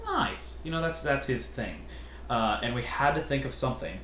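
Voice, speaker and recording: A man from California with a generally deep voice, hosting a casual question-and-answer chat and talking in a hesitant, easygoing way with lots of fillers. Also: lively intonation, normal speed, a bit bright. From California, USA. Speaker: channel host. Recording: roomy echo, faint noise.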